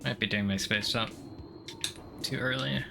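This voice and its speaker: A man's voice: medium pitch, fairly even intonation, unhurried, gravelly, background noise. A man giving casual gameplay commentary, thinking aloud in a hesitant, self-deprecating mutter with some mild swearing. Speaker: gaming streamer playing through a game. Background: game music.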